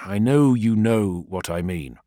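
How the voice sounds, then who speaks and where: A man voicing a proud, fiery male war leader who speaks in a raised, forceful way. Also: on the low side, unhurried, dry recording, clean studio sound, natural voice. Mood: earnest. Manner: forceful, raised, impassioned delivery.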